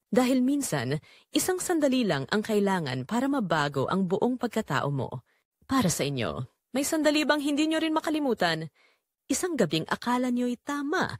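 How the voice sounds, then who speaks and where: A woman narrating as a brooding, guilt-torn man, in slow, deliberate, confessional dramatic storytelling. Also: fairly high pitch, no echo, studio quality. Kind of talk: scripted first-person dramatic narration.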